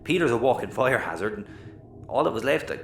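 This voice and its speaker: An actor playing an exasperated, sardonic Irish rogue space captain in a sci-fi audio comedy. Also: male voice, mid-range voice, sing-song, brisk, creaky, reverberant, noisy background. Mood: defensive.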